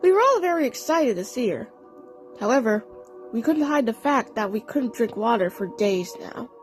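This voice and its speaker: High-pitched, animated woman telling a story. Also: normal speed, soft background music. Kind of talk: scripted fan story narration.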